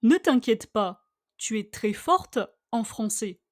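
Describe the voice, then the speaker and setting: A woman with a high voice reads scripted lines for a language lesson. She goes very slowly, phrasing each line with care so that learners can repeat it. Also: animated. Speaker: language teacher. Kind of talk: scripted dialogue read slowly for listen-and-repeat practice.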